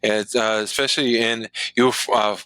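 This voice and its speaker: A man's voice: lowish voice, fairly even intonation, moderate pace, bright, forceful tone, clear, resonant tone, no echo, low-quality recording. A middle-aged man from Chicago and southwestern Virginia, telling his story casually as an interview guest.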